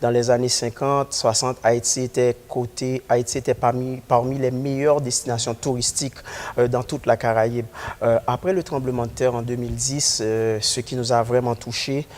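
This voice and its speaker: An earnest young man from Haiti, answering as a guest in a studio interview. He talks in a halting way, full of hesitations, at a middling pitch. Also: flat delivery, darkish tone. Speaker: guest, community organizer.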